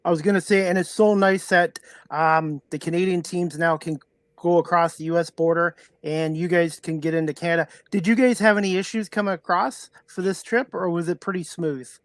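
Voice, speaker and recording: A man's voice: sits high, steady tone, fairly quick, no echo. A man hosting a podcast talk show, asking questions in a casual, chatty way. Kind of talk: casual interview chat.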